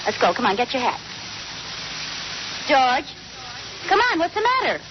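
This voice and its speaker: An American actress playing a flustered, indignant wife in a theatrical, exasperated old radio comedy style. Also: female voice, high voice, sing-song, measured pace. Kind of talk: 1940s radio comedy acting. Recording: muffled, noisy old radio recording.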